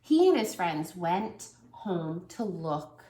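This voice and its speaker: A woman teacher reading a picture book aloud to a small child, lively and encouraging. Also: fairly low pitch, takes it slowly, roomy sound. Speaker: preschool teacher. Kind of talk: children's read-aloud lesson.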